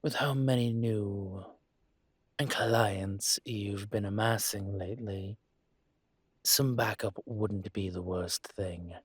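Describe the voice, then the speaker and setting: A man acts out a dragon crime lord for a roleplay audio, composed and courteous. The voice is low-pitched and husky, with very unhurried, deliberate phrasing. He sounds protective and politely in control. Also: steady tone, no room sound, clean studio sound. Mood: courteous, businesslike. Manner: measured, polite, deliberate with pauses. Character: calm, courteous male dragon mafia boss, protective. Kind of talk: scripted roleplay audio monologue to listener.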